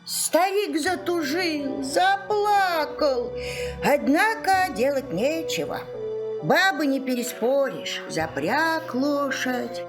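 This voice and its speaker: A woman storyteller giving an expressive scripted fairy-tale narration. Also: sits high, slow. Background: music.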